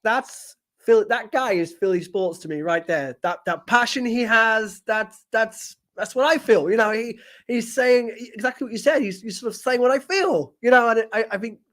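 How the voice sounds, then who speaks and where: A man's voice: extremely high pitch, moderate pace, few pauses, a bit bright. A man from Britain hosting a casual podcast interview, enthusiastic, often restarting phrases. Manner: enthusiastic, repeats words, laughs often. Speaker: podcast host.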